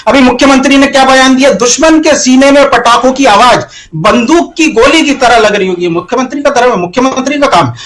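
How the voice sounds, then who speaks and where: A man's voice: extremely high pitch, fairly bright tone, rough recording. A man arguing combatively as a panelist in a televised political debate, pressing rhetorical questions.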